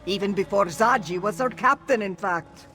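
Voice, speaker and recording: A woman's voice: mid-range voice, normal speed, fairly bright tone, lightly acted. A woman voicing a loyal, reflective veteran cat-folk sailor in scripted video game dialogue. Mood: grateful, wistful. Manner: reflective, speaks of self in third person.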